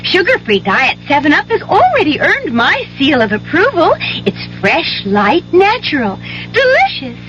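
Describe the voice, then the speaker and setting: An actress playing a perky, fussy Goldilocks taste tester in a 1970s radio commercial. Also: female voice, high-pitched, wildly swooping pitch, unhurried, fairly bright tone, heavily acted. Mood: perky, bossy. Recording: muffled, faintly noisy vintage radio.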